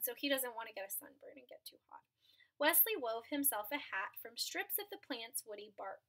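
A woman's voice: high-pitched, pushed and bright, low-quality recording. A young woman reading a picture book aloud at home into a webcam, stopping to explain the pictures to children. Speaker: read-aloud reader. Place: small room at home, indoors. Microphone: webcam at close range.

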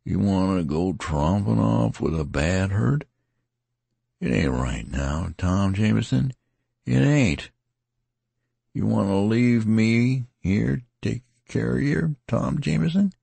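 A man's voice: mid-range voice, big pitch swings, takes it slowly, gravelly, a little mellow, breathy edge, dry recording, pitched above the performer's own voice, exaggerated voice. A man voicing a wounded, ragged soldier who rambles on in a folksy country dialect. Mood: slurred, pleading. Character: wounded ragged male soldier, garrulous and rustic.

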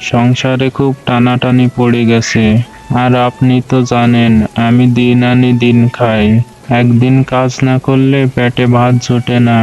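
A man acts out a poor village day labourer from a cartoon folk tale. He is an earnest, worried husband with a plaintive way of talking. His pitch is middling and stays very even, over music. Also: unhurried, muffled recording, natural voice. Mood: pleading. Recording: music under the voice.

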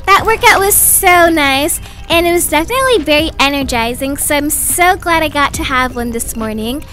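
Woman playing a high-pitched, fussy doting mom. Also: lively intonation, moderate pace, sharp tone, faint music behind. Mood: cheerful. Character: doting, fussy young mother of twins. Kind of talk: animated doll-game roleplay voice acting.

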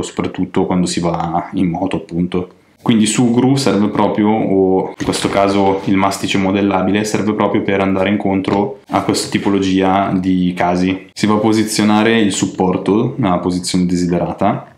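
Guy in his 30s, lowish voice, chatty vlog how-to, echoey living room. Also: darkish tone. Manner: colloquial, chatty, explanatory. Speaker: motovlogger and channel host. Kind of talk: casual hands-on tutorial vlog. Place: indoors, living room at home.

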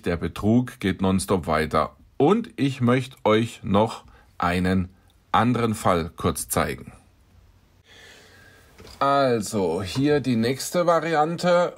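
A middle-aged man with a middle-pitched voice narrates his encounters with scammers in a casual, dry way. He reads out messages and comments on them with a wry edge, as a car dealer talking to his viewers. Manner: dry, wry storytelling about scam messages. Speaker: car dealer and channel host.

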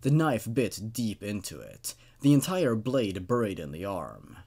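A man in his twenties reading a horror story aloud, dramatically and with deliberate pauses for suspense. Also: lowish voice, big pitch swings, vocal fry, a little mellow, breathy edge. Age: 20s. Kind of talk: dramatic horror story narration with brief casual comments.

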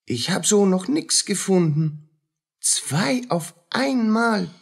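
An actor playing a poor, weary, suspicious common soldier in a radio drama, speaking in a plain, burdened way. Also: male voice, high voice, animated, slow, creaky, breathy edge, heavily acted.

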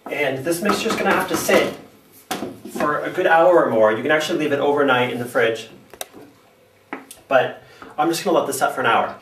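A man's voice: fairly high pitch, brisk, long pauses. Man in an echoey kitchen, far from the mic. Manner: relaxed, chatty, with fillers and restarts. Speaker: home cooking show host. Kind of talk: casual step-by-step cooking demonstration. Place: home kitchen. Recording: echoey room, distant mic, faint noise.

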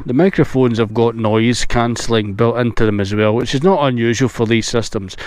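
A man's voice: mid-range voice, fairly even intonation, a little fast. A middle-aged man giving a casual motorcycle product review, with road and outdoor noise behind him.

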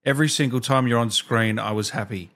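Mid-pitched man hosting an interview. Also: fairly quick, fairly bright tone. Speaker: interview host. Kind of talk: casual interview chat. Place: small indoor streaming room. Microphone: studio mic close up, with headset.

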